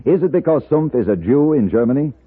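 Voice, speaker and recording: A male 1950s radio announcer with a medium-pitched, very animated delivery. He reads scripted copy dramatically and emphatically through a tinny, narrow-band broadcast. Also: brisk, slightly rough.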